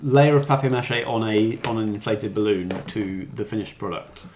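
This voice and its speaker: A man's voice: fairly low pitch, normal speed, darkish tone, slight background noise. A man co-hosting a podcast, giving his opinions in casual conversation. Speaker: podcast co-host and judge. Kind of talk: casual review chat.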